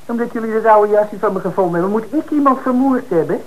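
A man's voice: high voice, fast talker, lightly acted. An actor playing a defensive working-class suspect in a Dutch radio drama, speaking colloquially as he protests his innocence. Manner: colloquial, hesitant, then indignant. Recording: noisy old radio broadcast recording.

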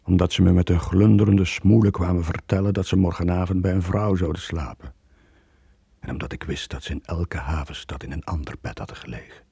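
Casting: middle-aged seaman, husky and dark, bitter, terse, radio play. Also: deep voice, fairly quick, no echo, full character voice. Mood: bitter, brooding. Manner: brooding, terse, confessional. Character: bitter, lonely long-serving merchant sailor Joon. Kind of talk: 1980 radio drama acting.

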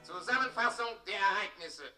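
An actor playing an officious town crier in a radio play, declaiming bureaucratic proclamations. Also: male voice, high-pitched, expressive, moderate pace, bright, forceful tone, heavily acted. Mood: pompous, official. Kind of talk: German radio play acting. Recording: roomy distant radio play, music behind.

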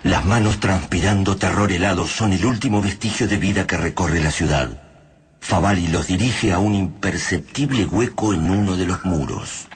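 A man with a Rioplatense accent narrating a radio drama in a grave, ominous, deliberate manner. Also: sits low, slight background noise. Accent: Rioplatense Spanish. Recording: narration over loud music.

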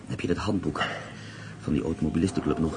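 An actor playing a calm, commanding escaped German prisoner of war who speaks with a German accent. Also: male voice, extremely low pitch, quick pace, background noise. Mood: reassuring. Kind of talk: radio play acting.

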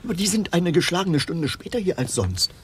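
An anxious lookout in a heist. His medium voice swings about a lot, in 1970s radio crime comedy with clear noise around it. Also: high voice, big pitch swings, a little fast, fairly bright tone. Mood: nervous. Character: anxious accomplice keeping lookout during a heist. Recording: noisy old radio drama tape.

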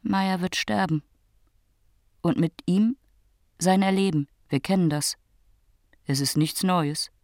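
A woman's voice: lowish voice, takes it slowly, long pauses, darkish tone, no echo, clean studio sound. A woman narrating a scripted literary radio drama in terse, rhythmic, repetitive phrases.

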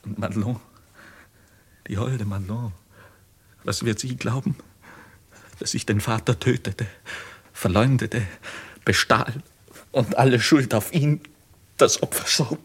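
Husky, despairing young journeyman. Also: medium pitch, unhurried, pauses often. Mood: anguished. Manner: theatrical, sarcastic, swinging between hushed and fervent. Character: despairing, bitter young goldsmith's journeyman accused of murder. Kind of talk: 1950s German radio play acting. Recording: vintage 1950s radio play recording.

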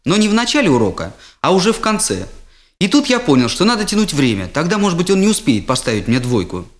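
A man performing a humorous first-person story as its storyteller, with expressive comic timing. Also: high-pitched, fast talker.

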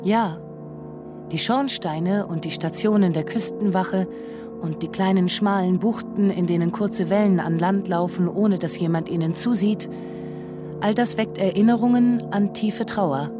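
A woman's voice: mid-range voice, unhurried, over music, lightly acted. An actress playing an observant, melancholy woman who narrates what she watches, reflective, in a literary radio drama.

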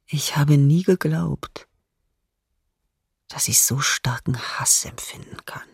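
A woman playing a bitter, frightened diarist under occupation, with a husky, breathy voice, in a radio docudrama. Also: sits low, no echo, studio quality, full character voice. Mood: bitter, disgusted. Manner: slow, halting, sometimes near whisper. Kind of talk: intimate radio docudrama monologue.

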